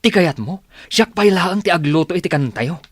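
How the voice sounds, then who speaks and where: Actor as an irritable, swooping-voiced Ilocano boyfriend. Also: male voice, high-pitched, animated, moderate pace, slightly rough, dry recording, studio quality. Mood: irritable, demanding. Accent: Ilocano Filipino. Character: demanding, irritable, selfish young boyfriend. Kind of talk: Ilocano radio drama acting.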